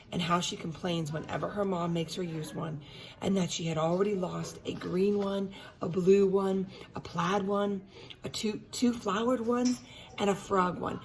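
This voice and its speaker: A woman reads a storybook aloud for children. Her mid-pitched voice is expressive and engaging, with a teacherly way of addressing her listeners directly. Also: creaky, slight background noise.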